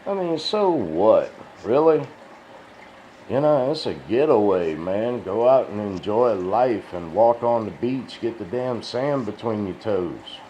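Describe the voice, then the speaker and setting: A man's voice: fairly low pitch, expressive, takes it slowly, mellow. A man rambling in a casual, profane, folksy monologue, sounding tired and dry-mouthed. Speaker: crypto video host. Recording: dull lo-fi sound with faint hiss.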